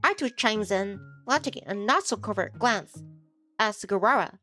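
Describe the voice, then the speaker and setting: A woman's voice: medium pitch, expressive, moderate pace, bright, forceful tone. A woman streamer casually narrating a visual novel as she reads it aloud. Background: music.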